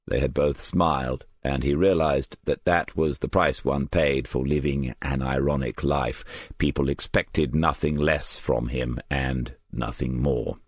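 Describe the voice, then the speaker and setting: A man's voice: very deep voice, fairly even intonation, unhurried, no room sound. A man reading a crime novel as an audiobook narrator.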